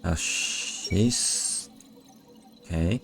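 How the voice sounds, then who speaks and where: A woman streamer reacts casually to a game. Her voice is extremely low and her delivery slow, with short, muttered, skeptical asides. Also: gravelly, music in the background.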